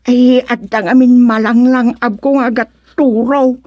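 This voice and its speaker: A woman's voice: fairly high pitch, steady tone, moderate pace, darkish tone, dry recording, lo-fi sound, lightly acted. A woman playing a chatty, teasing village woman in an Ilocano radio comedy. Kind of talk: Ilocano radio drama acting.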